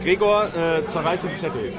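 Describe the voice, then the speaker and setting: A man's voice: a little high, animated, moderate pace. A man co-hosting a live podcast in a pub, moderating with dry remarks. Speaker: podcast co-host. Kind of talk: casual live podcast chat. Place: pub with a crowd. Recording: crowd chatter in a pub.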